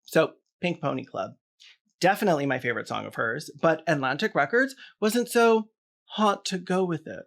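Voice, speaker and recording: A man's voice: fairly high pitch, animated, normal speed, gravelly. A man hosting a scripted video essay, delivering commentary with sarcastic, wry asides.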